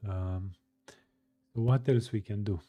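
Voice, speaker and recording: A man hosting a live stream, chatting casually with viewers, hesitant with many pauses and fillers. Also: fairly low pitch, lo-fi sound. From Romania. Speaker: live-streaming coding host. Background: faint music.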